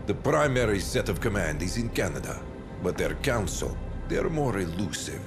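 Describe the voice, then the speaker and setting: An actor playing a stern, calculating field marshal in a video game briefing, formal, measured, smug and menacing. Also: male voice, fairly low pitch, sing-song, music in the background. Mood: cold, commanding. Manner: measured, formal, deliberate, condescending. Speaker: military commander briefing a general.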